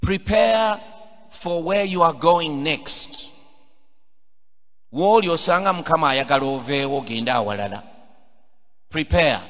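Ugandan priest preaching in a cathedral. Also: male voice, a little high, expressive, measured pace, pauses often. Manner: emphatic preaching, building to loud exhortations. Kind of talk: homily mixing English and Luganda. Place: large cathedral, indoors at a pulpit. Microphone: pulpit microphone on a stand. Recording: long cathedral reverb.

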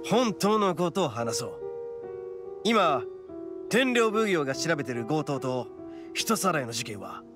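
Brash oni gang boss. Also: male voice, on the high side, very animated, unhurried, pauses often, music in the background. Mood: reluctant, earnest. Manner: boastful, blunt street-tough slang. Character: brash, big-hearted young male oni gang boss. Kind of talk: Japanese anime game voice acting.

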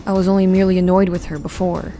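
A woman's voice: on the low side, flat delivery, very fast, dark tone, faint music behind, lightly acted. A woman playing a guarded, protective young woman, narrating an audio drama in the first person in a restrained, brooding, stern manner. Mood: angry, threatening.

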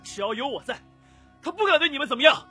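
A woman plays an earnest young wandering swordsman in a fantasy audiobook. The voice is very high and forceful, swinging widely as it pleads and insists. Music plays behind it. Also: very animated, fast talker, full character voice. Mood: earnest, persuading. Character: earnest, troubled young male wandering cultivator. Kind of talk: audiobook character dialogue.

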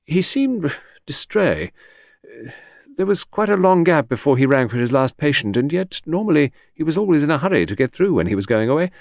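Man voicing a cool, crisp young female secretary. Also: medium pitch, very animated, moderate pace, no room sound, clean studio sound, voice pushed up. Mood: hesitant recall. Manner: crisp, precise, prompt, scornful. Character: cool, brusque, faintly scornful young female doctor's secretary. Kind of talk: audiobook character reading.

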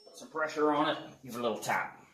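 A man's voice: mid-range voice, fast, heavy background noise. A middle-aged man casually explaining a repair step by step while he works on a snowmobile engine in a shed. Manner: relaxed, talks while working on an engine. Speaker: garage mechanic host. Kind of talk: casual how-to repair demonstration. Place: open wood shed workshop. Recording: echoey with loud tool clatter.

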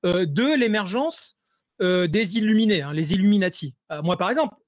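High-voiced, hesitant man on a call. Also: moderate pace, dry recording, clean studio sound. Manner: hesitant, many fillers and restarts; animated, rising intensity when making points. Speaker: interview guest, entrepreneur. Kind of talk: conversational interview analysis.

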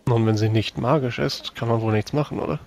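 A man playing a tabletop roleplaying game, speaking in character with measured, formal phrasing. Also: mid-range voice, darkish tone, lo-fi sound.

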